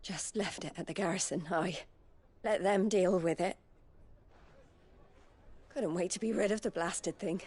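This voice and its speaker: A woman's voice: on the low side, unhurried, pauses often, darkish tone, breathy edge. An actress playing a callous, resentful village mother in a fantasy video game, blunt and scornful. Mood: bitter, disgusted. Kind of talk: dramatic video game acting.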